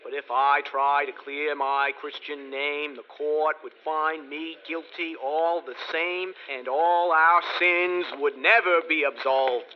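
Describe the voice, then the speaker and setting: A slow, troubled king on trial. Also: on the high side, steady tone. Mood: brooding. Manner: measured, earnest. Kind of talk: radio drama acting, verse. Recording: dull lo-fi audio with faint hiss.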